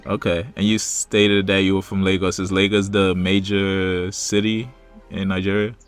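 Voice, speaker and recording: A man's voice: deep voice, level tone, normal speed, clean tone, faint music behind. A man hosting a podcast, asking questions in a hesitant way, often repeating his words. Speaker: podcast host. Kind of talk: casual interview.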